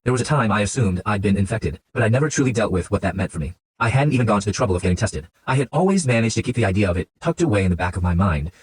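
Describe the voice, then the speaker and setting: A man delivers an earnest, rehearsed religious testimony at a quick pace. His husky voice swings widely in pitch, with emphatic phrasing. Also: animated, low-quality recording.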